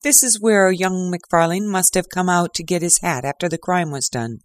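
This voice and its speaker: A reader voicing a pompous, triumphant male police inspector who talks loudly and gloats. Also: mid-range voice, normal speed, dry recording, clean studio sound, natural voice. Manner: loud, gloating, crowing.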